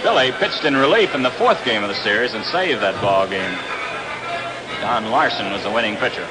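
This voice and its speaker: A man's voice: lowish voice, expressive, normal speed, loud music behind the voice. A man with an American accent calls live baseball play-by-play on a noisy 1960s radio broadcast from an outdoor ballpark. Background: loud ambient ballpark noise.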